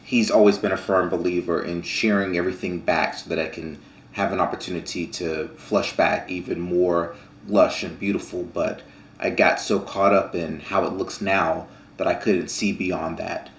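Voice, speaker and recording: A man co-hosting a casual farm chat who speaks in a reflective, measured way with fillers. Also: deep voice, steady tone, slight background noise. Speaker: farm vlog co-host. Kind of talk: casual conversation. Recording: echoey room.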